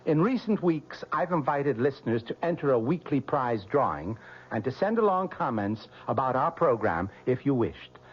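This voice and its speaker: A man's voice: mid-range voice, sing-song, moderate pace, husky. A man, a radio show producer, making an earnest on-air announcement and reading out an address carefully. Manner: earnest, grateful, carefully spelled out. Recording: dull lo-fi old radio broadcast.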